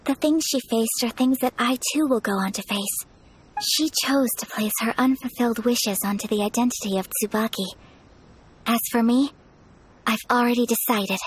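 A young woman voicing a gentle, composed and earnest noblewoman with a soft, polite, measured manner in a video game. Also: on the high side, slightly rough, poor audio.

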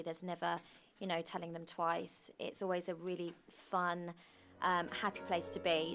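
A young British woman speaks in an informal documentary interview. Her voice sits a little low, and she talks freely and conversationally, like someone who runs a stage production. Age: young adult. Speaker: pantomime director and producer. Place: small costume room indoors.

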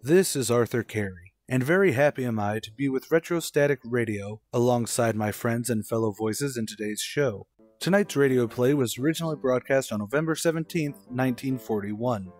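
A man's voice: mid-range voice, moderate pace, faint music behind. Formal male host of a suspense show. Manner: formal, wry, old-fashioned. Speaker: radio play host. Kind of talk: scripted theatrical introduction.

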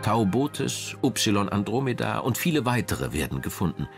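A man reading a scripted documentary narration in a measured, dramatic manner. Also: lowish voice, big pitch swings, creaky, soft background music.

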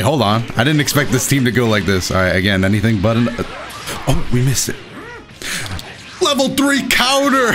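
A man hosting a gaming video with casual, excited, exclamatory and self-deprecating play-by-play commentary. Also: medium pitch. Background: game music behind the voice.

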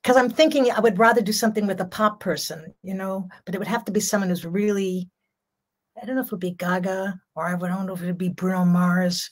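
A woman's voice: mid-range voice, fairly even intonation, quick pace. Older woman, strong voice, rambling and laughing, home video call. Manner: chatty, laughs often, rambling. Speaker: jazz singer, guest. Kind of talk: casual video-call interview. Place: home room.

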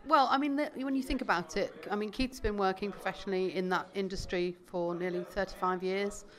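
A woman with a middle-pitched voice chats loosely with an interviewer. She rambles and laughs at herself. She answers as a musician. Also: fairly even intonation, brisk, fairly bright tone. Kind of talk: casual interview chat.

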